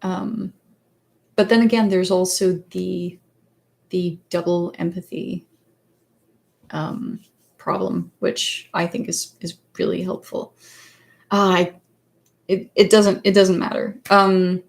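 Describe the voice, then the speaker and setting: Soft-spoken woman with a Danish accent. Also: on the low side, fairly even intonation, takes it slowly, vocal fry, long pauses. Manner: hesitant, many fillers and restarts, searches for words. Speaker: psychologist and author hosting a livestream. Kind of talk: casual livestream chat answering viewer questions.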